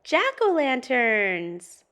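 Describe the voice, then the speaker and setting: An eager young storybook cat boy, acted out by a woman reader. The voice is raised and very animated, exclaiming in wide-eyed excitement. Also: a little high, slow pace, vocal fry, voice pushed up, heavily acted. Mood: delighted.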